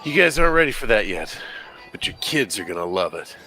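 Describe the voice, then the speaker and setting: A man's voice: lowish voice, sing-song, brisk, sharp tone, voice pushed down, heavily acted. A man reading the part of an eager, awkward teenage guitarist at a film script table read. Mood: sheepish.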